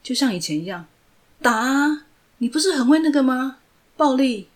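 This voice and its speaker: A woman voicing a cruel, mocking male villain who taunts slowly. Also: mid-range voice, a little mellow, natural voice. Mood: taunting. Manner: slow, taunting, gloating delivery.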